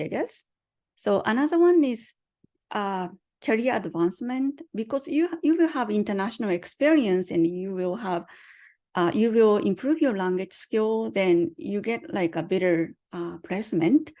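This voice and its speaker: A woman's voice: medium pitch, mellow. Hesitant woman presenting on a video call. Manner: hesitant, many fillers, asks the audience questions. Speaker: online session presenter. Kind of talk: presenting to an online audience.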